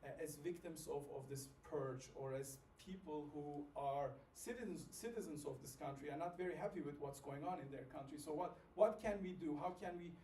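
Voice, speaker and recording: A man's voice: fairly high pitch, moderate pace, hardly any pauses, warm tone, a little hiss or hum. A man from Turkey giving a seminar talk in an informal, chatty way with plenty of fillers, recorded in a room away from the mic. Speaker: seminar presenter. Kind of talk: conference presentation. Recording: echoey room from a distance.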